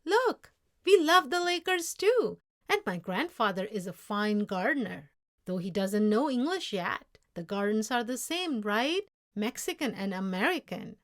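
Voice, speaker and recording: A woman's voice: a little high, big pitch swings, a little slow. A woman voicing an eager, earnest young Mexican-American boy in a storybook read-aloud, pleading and insistent.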